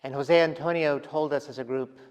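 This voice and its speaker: Reflective pastor in a reverberant church. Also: male voice, a little high, steady tone, clean tone. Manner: gentle, reflective preaching with pauses and soft trailing endings. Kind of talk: sermon. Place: large church sanctuary.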